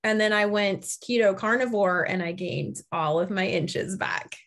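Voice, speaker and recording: A woman's voice: mid-range voice, measured pace. A woman in her forties hosting a casual group chat over a video call, telling stories and acting out what people said. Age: 40s. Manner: chatty, animated storyteller who acts out quoted people. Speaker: podcast host. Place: home room, indoors.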